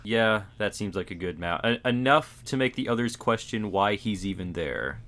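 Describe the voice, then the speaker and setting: A man's voice: on the low side, lively intonation, normal speed, fairly bright tone. A man co-hosting a casual panel chat, talking in an informal, tentative manner. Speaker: podcast co-host.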